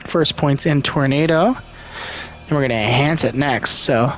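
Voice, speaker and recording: A soft-spoken man giving casual let's-play commentary into a desktop microphone, thinking aloud with lots of ums and uhs. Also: medium pitch, a little slow. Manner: rambling, thinking aloud with many fillers.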